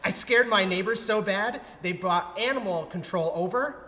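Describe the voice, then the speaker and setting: Dopey earnest oddball actor, high sharp voice, radio play in echoey hall. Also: male voice, moderate pace. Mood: clueless. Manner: goofy, earnest. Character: dim, oddball, cheerfully clueless male actor. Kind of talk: live radio comedy play acting. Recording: reverberant, noisy ballroom stage.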